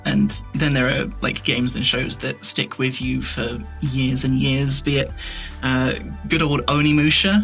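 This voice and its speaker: A man in casual interview chat as a podcast guest, rambling and self-deprecating in an expressive way. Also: medium pitch. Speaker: podcast guest, audio drama creator and voice performer.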